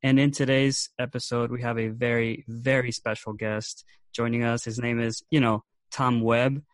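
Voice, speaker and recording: A man hosts a podcast, greeting listeners and asking questions with fillers. His voice is mid-pitched and recorded dry, with no room sound. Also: flat delivery, normal speed, no room sound. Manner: upbeat, hesitant questions with fillers. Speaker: podcast host. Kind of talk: casual interview.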